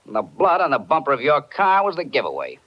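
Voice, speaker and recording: A man playing a confident, matter-of-fact police detective in 1940s radio acting style, brisk and knowing. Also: fairly high pitch, huge pitch swings. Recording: muffled 1940s radio recording.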